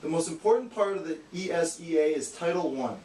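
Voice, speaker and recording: A man's voice: a little high, animated, brisk, mellow. A man giving an earnest, matter-of-fact scripted educational explanation as the straight man of a puppet show. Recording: echoey, distant, faint noise.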